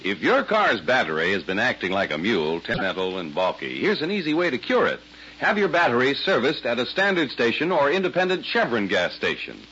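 A man reads an old-time radio commercial in a folksy, persuasive way. His bright, husky voice swings through a very wide pitch range. The old broadcast sound is dull. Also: wildly swooping pitch, brisk, soft background music. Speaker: commercial announcer. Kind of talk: 1940s radio ad read.

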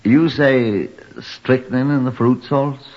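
An actor playing a dry, reserved police inspector, formal and measured, in an old-time radio drama. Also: male voice, medium pitch. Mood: curt, questioning. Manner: dry, terse, formal when narrating. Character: dry, reserved male police inspector narrating his case. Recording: dull, muffled old radio recording.

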